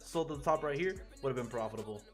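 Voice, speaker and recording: A man from Chile, a forex trader chatting casually and excitably with his live viewers, swearing freely. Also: medium pitch, quick pace. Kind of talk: casual livestream commentary. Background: faint music.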